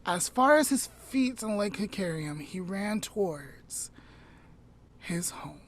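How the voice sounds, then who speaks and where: A woman podcast host telling a story aloud informally, in a measured way with dramatic pauses. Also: medium pitch, animated.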